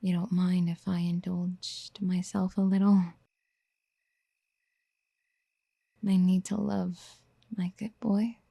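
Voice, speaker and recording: A woman playing a doting, obsessive would-be mother in a soft-spoken ASMR roleplay, breathy and often near a whisper, tenderly coaxing. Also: on the low side, little pitch movement, measured pace, no echo, heavily acted. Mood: tender, adoring. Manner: tender, coaxing, hesitant pet-name murmuring. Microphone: close microphone in a dry, quiet space.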